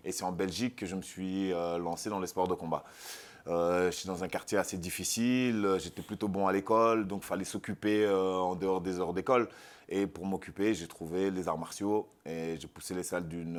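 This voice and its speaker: A man from Congo, a fighter and actor, telling his story with confident, animated energy in an interview. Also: deep voice. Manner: confident, animated, self-assured storytelling with frequent repeats. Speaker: MMA fighter and actor, guest.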